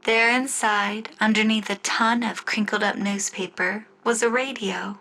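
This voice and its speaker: A woman narrating a scripted first-person story in the style of an old-time radio show, with deliberate storytelling phrasing. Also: medium pitch, fairly even intonation, sharp tone. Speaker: writer and narrator of an audio story.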